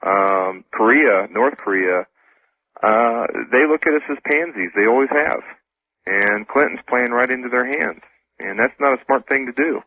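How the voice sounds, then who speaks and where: A man speaking as a radio interview guest, casual and informal, explaining things haltingly. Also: mid-range voice, no room sound. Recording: tinny phone-like radio broadcast.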